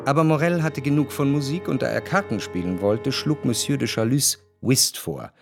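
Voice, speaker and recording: An actor playing a cultured, ironic narrator in a German radio play, speaking in a measured, detached way. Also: male voice, medium pitch, vocal fry, music in the background, natural voice. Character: cultured, ironic male first-person narrator.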